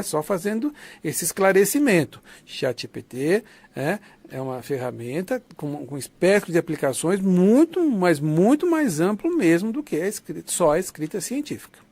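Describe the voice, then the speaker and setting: A man's voice: high voice, very animated, normal speed, darkish tone, breathy edge, no room sound. A man with a Brazilian accent lecturing in an informal, conversational teaching style, often checking in with short tag questions. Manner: didactic, conversational, often seeks confirmation with tags. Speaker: lecturer of an academic writing course. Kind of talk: online lecture, informal explanation.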